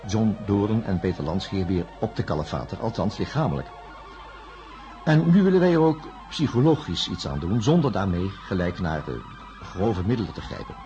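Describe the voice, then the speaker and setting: An actor playing a calm, patient male psychiatrist in a science fiction radio drama, speaking formally and deliberately. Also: on the low side. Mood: formal, measured. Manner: measured, formal, deliberate phrasing with pauses. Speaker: doctor. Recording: dull lo-fi radio recording, music.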